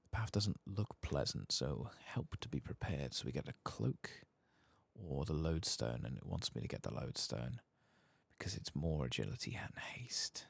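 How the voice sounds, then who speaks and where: A man giving soft-spoken ASMR commentary on a game, sometimes dropping into a whisper. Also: rumbling low, expressive, normal speed, gravelly, husky, no room sound. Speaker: gaming ASMR streamer. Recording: faint keyboard and clicking noise.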